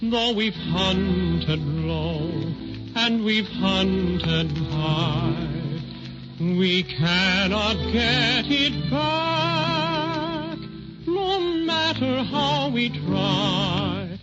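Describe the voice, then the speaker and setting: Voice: mid-range voice, extremely slow. An actor voicing a plucky little toy bear, reciting rhymed verse in a sing-song 1930s radio style. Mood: sing-song, earnest. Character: plucky little male toy teddy bear with shoe-button eyes. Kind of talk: 1930s radio musical storytelling. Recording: muffled 1930s radio transcription. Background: music.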